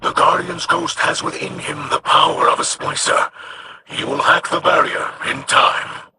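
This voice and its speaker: A man's voice: a little high, unhurried, very noisy, natural voice. A man playing a solemn, stoic alien warrior leader in a video game, speaking in formal, reverent, weighty phrases. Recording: music behind the voice.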